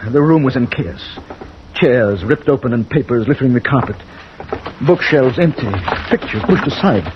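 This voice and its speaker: An actor playing a brooding army colonel, measured and grave, in a vintage wartime radio drama. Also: male voice, mid-range voice, lively intonation, close to an everyday voice. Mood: brooding, tense. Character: brooding British army colonel narrating his wartime story. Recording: muffled old radio recording, loud noise.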